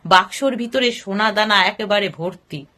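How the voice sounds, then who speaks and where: A woman's voice: medium pitch, moderate pace, sharp tone. A woman narrating a scripted story in a dramatic storytelling manner.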